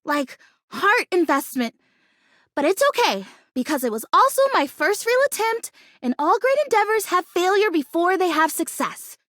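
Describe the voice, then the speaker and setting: Game role: an earnest, optimistic young female houseplant, extremely high voice, lively intonation, gushing and chatty. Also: moderate pace, no room sound, clean studio sound, exaggerated voice. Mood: disappointed but resilient. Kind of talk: animated video game character acting.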